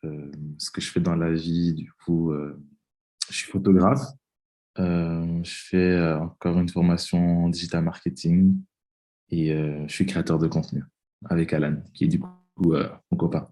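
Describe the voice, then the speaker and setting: A man's voice: sits low, level tone, moderate pace, mellow. A man in his twenties from Belgium, a podcast guest talking casually and hesitantly with many fillers. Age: 20s. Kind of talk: casual interview talk.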